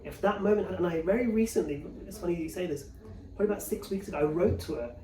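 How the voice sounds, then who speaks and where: A middle-aged man being interviewed, chatting casually and telling personal anecdotes in an animated way, acting out quoted remarks. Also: high-pitched, fast, slight background noise. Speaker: interview guest, corporate executive. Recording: echoey room, distant mic.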